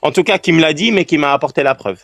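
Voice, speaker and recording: A man who is a guest in a calm live-stream debate, speaking earnestly and in a friendly way while he searches for words. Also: a little high, fast, creaky, no room sound, studio quality, faint music behind. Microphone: remote live-stream call.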